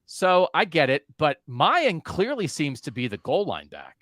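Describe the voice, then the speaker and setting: A man hosting a sports podcast, talking casually in an animated way and asking his co-host questions. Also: a little high, a little slow, no room sound, clean studio sound.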